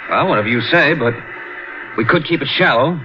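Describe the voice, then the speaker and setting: Man as lively, affable diving buddy, old radio. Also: medium pitch, fairly quick, lightly acted. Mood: teasing, wary. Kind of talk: 1950s-60s radio drama acting. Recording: noisy, dull vintage radio recording.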